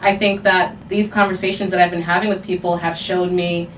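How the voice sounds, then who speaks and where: A woman hosting a casual hair podcast interview, chatty and friendly with quick asides, recorded in a studio. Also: fairly low pitch, flat delivery, reverberant, far from the mic, slight background noise, rough recording. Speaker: podcast host.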